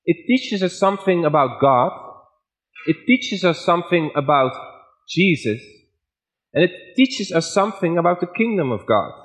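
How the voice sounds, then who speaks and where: A man with a Dutch accent, a guest preacher giving a sermon in simple, plain English at a measured pace. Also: fairly high pitch, lively intonation, fairly bright tone, low-quality recording.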